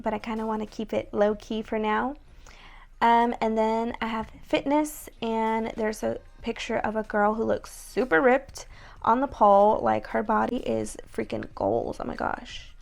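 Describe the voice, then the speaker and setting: A young woman with a raspy voice talking casually to her viewers, chatty and full of fillers. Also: fairly high pitch, darkish tone. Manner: chatty, many likes and ums. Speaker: vlogger. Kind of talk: casual vlog talk to camera.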